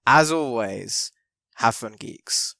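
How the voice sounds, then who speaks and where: A man's voice: mid-range voice, expressive, takes it slowly, vocal fry, noisy background. A man hosting a podcast interview in a chatty way, laughing often and using lots of fillers. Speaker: podcast host. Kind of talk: casual interview chat.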